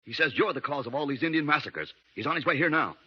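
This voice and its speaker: A fast-talking sneering troublemaker. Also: male voice, a little high, lively intonation, slightly rough. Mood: sly. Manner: quick, sneering. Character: shifty male troublemaker, sneering and sly. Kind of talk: 1930s radio drama acting. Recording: dull hissy 1930s radio broadcast.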